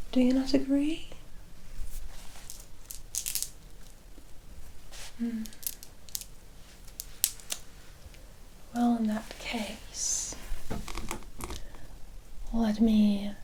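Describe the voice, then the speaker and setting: An enigmatic forest witch, played by a woman, whispers slowly and mellowly for an ASMR roleplay. She is coy, amused and teasing, with plenty of breath in the voice. Some steady noise sits behind her. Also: mid-range voice, natural voice, low-quality recording. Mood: playful, musing. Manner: slow, coy, teasing, addressing the listener as honey.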